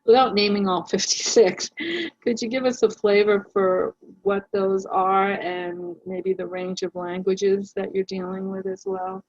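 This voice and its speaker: A woman moderating a question session, politely putting questions to a guest in an unhurried conversational way. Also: medium pitch, little pitch movement, a little mellow, dry recording. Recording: dull, lo-fi livestream audio.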